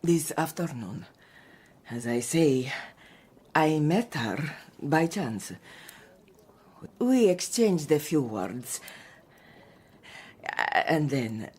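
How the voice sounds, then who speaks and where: An actress playing a haunted, intense Victorian countess in a radio drama, speaking haltingly with a husky, breathy voice. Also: female voice, deep voice, sing-song, darkish tone, heavily acted. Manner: halting, theatrical delivery in broken phrases. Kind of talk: period radio drama acting.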